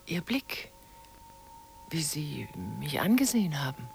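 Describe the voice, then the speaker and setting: A flirtatious, yearning female portrait sitter in a radio drama. She speaks intimately with a very lively pitch range, over music. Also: slow, gravelly, full character voice. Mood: awed. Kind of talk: German radio play acting.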